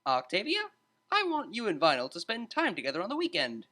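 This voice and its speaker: Narrator doing a perky male pony teacher, pitched way up, swoopy and eager, storybook cartoon type. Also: fairly quick, heavily acted. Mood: excited. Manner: animated, patient lecturing. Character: excitable, meddling male pony psychology tutor, amused and cheerful.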